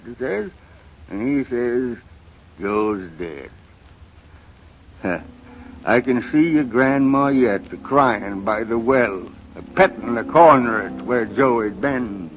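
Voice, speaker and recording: An actor playing a sorrowful old rural American grandfather, telling his story haltingly in a folksy, dramatic 1930s radio style. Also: medium pitch, full character voice. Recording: muffled, noisy 1930s radio recording.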